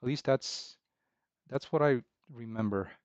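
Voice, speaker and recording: A man in his thirties from Romania, chatting casually with livestream viewers in a halting, relaxed way full of fillers and restarts. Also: mid-range voice, no echo. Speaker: indie game developer streaming. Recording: dull, slightly lo-fi dry sound.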